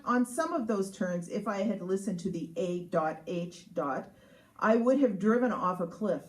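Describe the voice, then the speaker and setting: A woman's voice: medium pitch, darkish tone, poor audio. A woman reads her humorous memoir aloud as its author, in a sarcastic, emphatic, mock-exasperated manner. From Canada. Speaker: author reading from own book. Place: live reading at a showroom.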